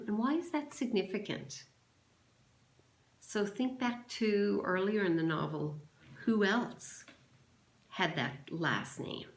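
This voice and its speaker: A woman teacher reading a children's novel aloud to a class in a measured, deliberate way. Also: fairly low pitch. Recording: roomy, echoing sound.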